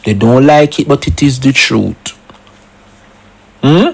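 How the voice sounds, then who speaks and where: A man's voice: medium pitch, slow pace, vocal fry. A man giving opinionated solo commentary, full of rhetorical questions and emphatic asides. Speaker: commentary channel host.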